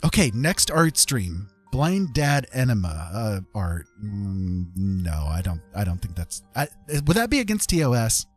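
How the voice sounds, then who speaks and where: Animated man chatting casually on a livestream. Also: lowish voice, unhurried, hardly any pauses, soft background music. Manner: self-deprecating, jokey, colloquial. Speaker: live streamer and digital artist. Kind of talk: casual rambling livestream chat.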